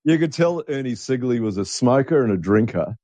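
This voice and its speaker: A man's voice: mid-range voice, lively intonation, brisk, dark tone, dry recording. A middle-aged man, co-hosting a casual chat show and rambling as he hunts for words. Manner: rambling, restarts while searching for words. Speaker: co-host.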